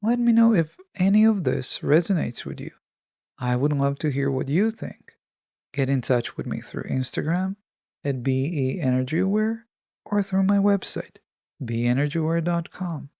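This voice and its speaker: A woman hosting a solo self-help podcast, speaking in a calm, measured and instructive way with pauses. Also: low voice, vocal fry, dry recording, clean studio sound. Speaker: podcast host.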